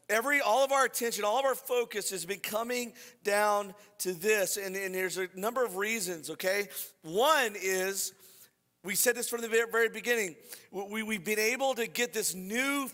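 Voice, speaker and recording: Male pastor, slightly scratchy hoarse voice, bright edge, informal sermon, repeated phrases, long hall reverb. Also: sits high, normal speed. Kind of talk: conversational sermon to a congregation. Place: church hall.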